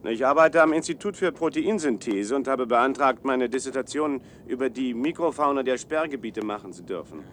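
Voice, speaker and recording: A man's voice: mid-range voice, lively intonation, fairly quick, hardly any pauses, fairly bright tone, slight background noise, lightly acted. An actor playing an awkward, earnest young male biochemistry student in a science-fiction radio drama, with hesitant, apologetic delivery. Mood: earnest, eager.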